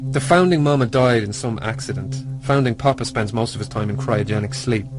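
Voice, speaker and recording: An actor playing a secretive, knowing underworld informant in a radio drama. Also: male voice, mid-range voice, a little fast, lightly acted. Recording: music behind the voice.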